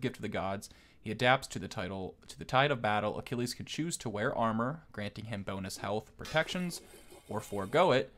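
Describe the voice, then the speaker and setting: A man with a fairly low voice hosts a gaming video. His commentary is casual and conversational, and he explains as he goes like someone talking a viewer through the details. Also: a bit bright.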